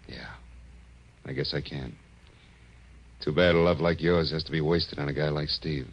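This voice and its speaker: A man's voice: a bass voice, steady tone, moderate pace. A man playing a tough, wry, world-weary private detective in clipped 1940s radio style. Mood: weary, resigned. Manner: hardboiled, wry, clipped wisecracking. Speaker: lead actor and narrator. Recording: muffled old radio recording.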